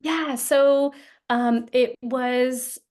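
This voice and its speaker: A woman guest in a casual interview, speaking thoughtfully with many pauses, ums and restarts. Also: high voice, steady tone, darkish tone. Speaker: guest, founder of an occupational therapy group.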